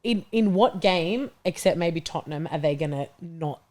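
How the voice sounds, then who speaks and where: A man's voice: a little high, expressive, normal speed, a little mellow, no echo. A man in casual podcast chat, acting out imagined reactions and often saying "like". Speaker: football podcast panellist.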